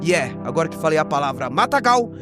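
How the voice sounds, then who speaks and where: A man's voice: fairly high pitch, sing-song, fast. A man with a Brazilian accent narrating a horror recap dramatically and expressively, with slangy jokey asides. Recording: voice over prominent background music. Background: music.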